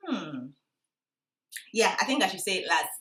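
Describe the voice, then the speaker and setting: A young woman with a Nigerian Igbo accent, chatting casually and expressively to viewers as she reviews a movie, with excitable, emphatic delivery. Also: medium pitch, a little slow. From Enugu, southeast Nigeria. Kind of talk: casual vlog chat to viewers. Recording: roomy indoor echo.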